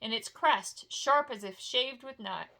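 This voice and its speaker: Female storyteller: bright voice, expressive fantasy narration, homely and informal, like a bedtime read-aloud. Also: a little high, unhurried, vocal fry. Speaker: storyteller reading a novel aloud.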